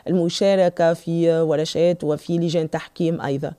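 A Tunisian woman interviewing a guest, asking quick questions and nodding along with short encouraging replies. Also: on the low side, level tone, mellow, clear, resonant tone. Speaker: interviewer host. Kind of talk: casual interview.

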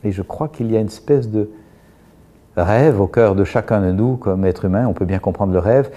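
Calm low-voiced man, mellow and measured. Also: muffled recording. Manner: calm, measured, with frequent pauses and restarts. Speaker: guest speaker on emotions. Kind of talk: reflective explanatory talk.

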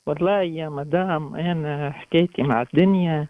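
A man in his twenties with a Tunisian accent, calling a radio show and speaking haltingly about himself over a phone line. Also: a little high, steady tone, a little mellow, no echo. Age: 20s. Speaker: first-time radio caller. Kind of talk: hesitant personal account on a phone-in. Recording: tinny narrow phone line.

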